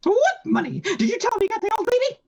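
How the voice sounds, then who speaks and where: Woman putting on a swooping, high-voiced tough gangster boss. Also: big pitch swings, fast talker, a little mellow, exaggerated voice. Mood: impatient. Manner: slangy tough-guy talk. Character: gruff menacing male gangster boss, tough talker. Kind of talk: hard-boiled radio play acting.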